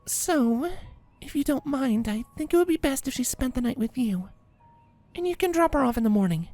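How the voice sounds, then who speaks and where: A woman's voice: sits high, expressive, normal speed, warm tone, soft background music. A woman playing a polite but insistent mother mare in a cartoon-pony fan fiction audio drama.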